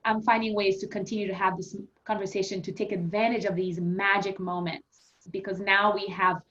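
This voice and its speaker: A woman's voice: medium pitch, flat delivery, moderate pace, a bit bright. A woman from Haiti, a yoga health coach, speaking earnestly and reflectively as a guest in a conversational interview. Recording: muffled lo-fi audio.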